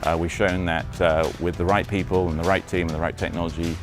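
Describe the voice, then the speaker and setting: Deep-voiced fast-talking man. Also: level tone. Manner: proud, earnest, with pauses. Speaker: aviation company spokesman. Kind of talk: promotional interview clip. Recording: clip with music behind.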